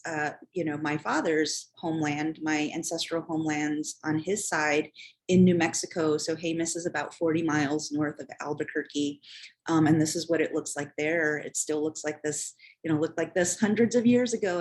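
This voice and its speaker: Woman, middle-aged, low voice, halting, filler-heavy, personal story. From Chicago, United States. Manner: halting, many "um" and "you know". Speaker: panelist.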